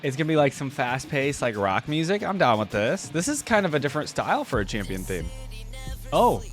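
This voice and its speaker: A man's voice: mid-range voice, big pitch swings, brisk, faint music behind. A man hosting a reaction video, commenting casually and thinking aloud as he watches.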